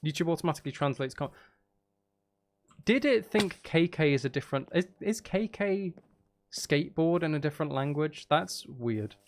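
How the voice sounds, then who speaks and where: A man's voice: fairly high pitch, lively intonation, normal speed. A man hosting a live stream, chatting casually with viewers in a rambling, chatty way.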